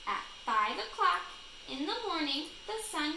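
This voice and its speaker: A young woman with a high, creaky voice reads aloud to children as their teacher. She speaks deliberately and explains as she goes. The recording is echoey, made in a living room. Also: sing-song, pushed and bright, a little hiss or hum. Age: young adult. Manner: slow, deliberate, instructional. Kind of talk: children's read-aloud lesson. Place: small living room, on a couch indoors.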